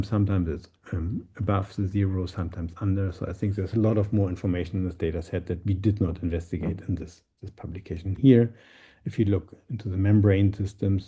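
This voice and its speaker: Dark, gravelly, husky man presenting research. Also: fairly low pitch. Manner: halting, searching for words, repeating himself. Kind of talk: online scientific presentation.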